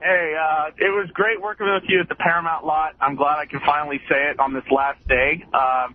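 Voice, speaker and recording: A man calling in to a radio show, friendly and grateful, speaking in a halting way. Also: fairly high pitch, flat delivery. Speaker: caller. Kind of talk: phone-in call. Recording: tinny phone line with faint noise.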